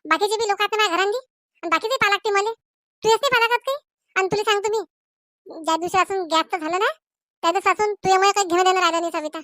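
Stern cartoon village matron acted by a woman, high voice, emphatic scolding, rural Maharashtrian accent, dry sound. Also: racing, sharp tone, dry recording. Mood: angry. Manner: emphatic, admonishing. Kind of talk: Marathi comedy cartoon dialogue.

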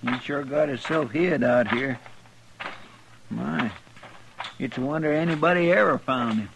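An actor playing an earnest deputy sidekick with a folksy rustic drawl in a 1950s radio western. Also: male voice, mid-range voice, a little slow, vocal fry. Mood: dutiful. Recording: noisy vintage 1950s radio recording.

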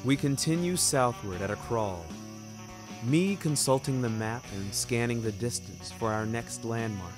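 Guy narrating an audio story real slow, wry n theatrical, wordy, music under it. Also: mid-range voice. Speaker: narrator of an audio fiction series. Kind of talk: theatrical scripted first-person narration.

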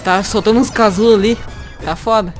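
A young man with a Brazilian accent, casually commentating a video game, joking, excitable and swearing a lot. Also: sits high, a little fast. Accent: Brazilian Portuguese. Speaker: host. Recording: game music behind.

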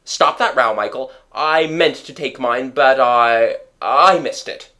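A storybook reader voicing a blustering, pompous middle-aged father who declaims loudly and indignantly. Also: medium pitch, extremely slow. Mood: defensive, irritated. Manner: loud, indignant, emphatic declaiming.

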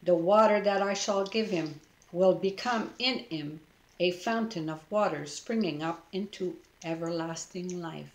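An older woman in her sixties recites a prayer poem at an unhurried pace, her voice even and earnest. She is recorded through a laptop webcam in a home living room that rings with noticeable echo. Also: medium pitch. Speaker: church member. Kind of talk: reading a devotional poem and prayer.

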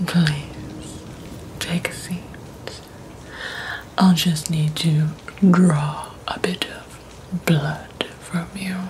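A woman in her thirties doing soft-spoken ASMR, playing a mysterious, polite vampire bank teller in a hushed, deliberate manner, close to the microphone. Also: fairly low pitch, steady tone, low-quality recording. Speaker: ASMR roleplayer.

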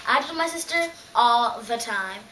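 A woman's voice: fairly high pitch, animated, moderate pace, close to an everyday voice. A ten-year-old girl playing a gleefully bratty, teasing little sister in a comic stage monologue. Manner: gloating, exaggerated, playful. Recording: echoey hissy room, distant mic.

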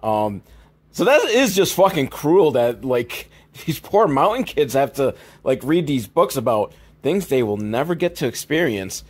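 A man's voice: mid-range voice, sing-song, a little slow, husky. A man hosting a comedy podcast, recapping films in a casual, rambling, sweary, mock-exasperated way. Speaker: podcast host.

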